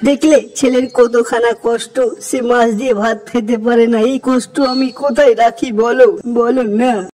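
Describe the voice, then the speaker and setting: A woman's voice: on the high side, fairly even intonation, a little slow, darkish tone, lightly acted, poor audio. A woman voicing a nagging, distressed village mother in a cartoon, scolding and melodramatic. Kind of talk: cartoon dialogue.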